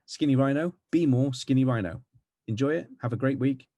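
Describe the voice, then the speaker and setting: A middle-aged man with a West Country accent giving a fast, energetic, chatty marketing tutorial over a video call. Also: mid-range voice, no echo. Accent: West Country English. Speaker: marketing agency owner. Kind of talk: casual tutorial talk to camera. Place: home with green screen background.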